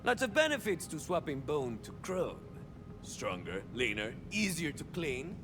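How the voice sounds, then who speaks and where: An actor playing a swaggering young cyborg soldier of fortune in a video game, a touched flavor, musing theatrically and landing wry deadpan punchlines. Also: male voice, fairly high pitch, a little slow, in a large hall, music in the background. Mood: wry reflection. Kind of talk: video game character monologue.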